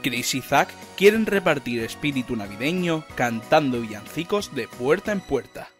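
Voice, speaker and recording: A man's voice: medium pitch, big pitch swings, moderate pace, faint music behind. A man reading scripted narration as a storyteller.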